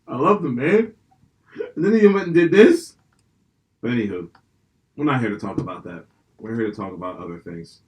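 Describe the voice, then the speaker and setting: Animated, profane young man chatting. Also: mid-range voice, moderate pace, pauses often. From Pennsylvania. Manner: profane, rambling, animated storytelling. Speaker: podcast host. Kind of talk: casual chat.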